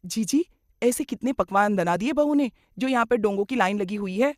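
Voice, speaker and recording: A woman's voice: mid-range voice, normal speed, a little mellow, dry recording, studio quality, close to an everyday voice. A woman playing a gossipy, effusive middle-aged aunt who chatters and exclaims, in a moral cartoon story. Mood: teasing.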